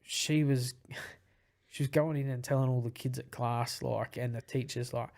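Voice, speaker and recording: Husky man with a gravelly edge. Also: mid-range voice, fairly even intonation, a little slow, darkish tone. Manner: rambling, with many fillers and restarts. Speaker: podcast guest. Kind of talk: casual chat.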